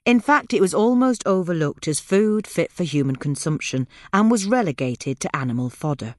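A woman's voice: on the low side, expressive, normal speed, no room sound, clean studio sound. A woman reading a scripted magazine article as a podcast narrator.

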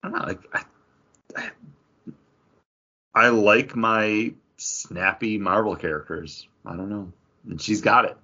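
Guy on a podcast talking movies, kinda raspy low voice, conversational, bit dull recording. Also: fairly even intonation, unhurried, long pauses, sharp tone. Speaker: podcast co-host. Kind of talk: casual film discussion.

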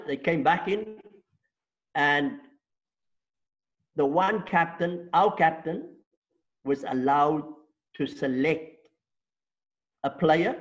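A man's voice: fairly high pitch, lo-fi sound. South African man recounting his past. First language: Afrikaans. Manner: reflective, recounts at length with rhetorical checks. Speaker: interview guest. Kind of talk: storytelling interview.

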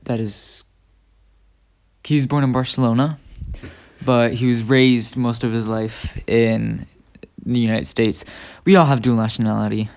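A young man from Spain answering interview questions in a casual, joking way, often pausing with fillers. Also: mid-range voice, fairly even intonation, creaky, a little mellow. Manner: laid-back, joking, hesitant with fillers. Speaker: guest interviewee. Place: indoors at a family home.

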